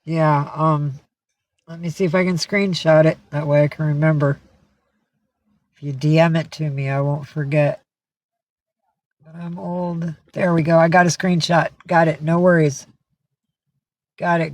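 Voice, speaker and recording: A man's voice: fairly high pitch, flat delivery, measured pace, pauses often, no echo. A man hosting a casual live stream, answering viewer comments and rambling with lots of fillers and restarts. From San Francisco Bay Area.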